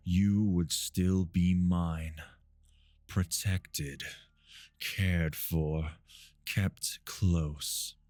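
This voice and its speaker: Guy doing a gothic vampire asmr voice, deep n dark, hushed, bossy and seductive, close mic. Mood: possessive, commanding. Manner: slow, hushed, deliberate, commanding. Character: possessive, seductive, commanding immortal male vampire.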